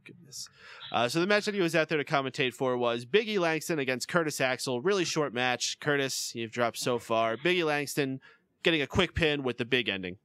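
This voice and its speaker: A man's voice: medium pitch, animated, brisk, a bit bright, clean tone, dry recording, studio quality. A man co-hosting a podcast, chatting casually through a recap of a wrestling show and laughing often. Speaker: podcast co-host.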